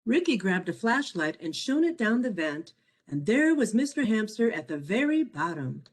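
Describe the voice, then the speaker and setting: Female author-narrator for a picture book, medium-pitched, lively melodic range, measured read-aloud delivery. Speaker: author reading own children's picture book. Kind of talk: scripted storybook narration for children.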